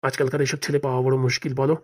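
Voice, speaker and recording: An actor playing an easygoing, reassuring middle-aged village husband in a comedy cartoon. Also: male voice, medium pitch, flat delivery, racing, lightly acted. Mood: fond, approving.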